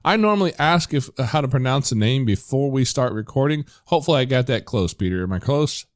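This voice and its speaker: A man hosting a podcast, chatting casually in a relaxed, rambling way that often corrects itself mid-sentence. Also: mid-range voice, a little fast, no echo, clean studio sound. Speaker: podcast host. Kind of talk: casual chat.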